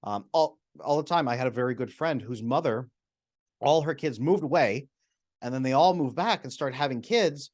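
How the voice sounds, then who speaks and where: A man, a retired psychotherapist, speaking as a podcast guest and explaining at length, often acting out quoted people with sudden jumps up in pitch. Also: expressive, moderate pace. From America. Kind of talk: animated explanatory interview talk.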